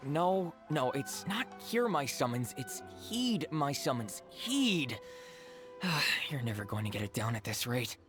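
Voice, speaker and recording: A man's voice: medium pitch, sing-song, takes it slowly, music in the background. A man playing a pragmatic, exasperated book editor in a video game, blunt and correcting but reluctantly helpful. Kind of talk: animated game dialogue.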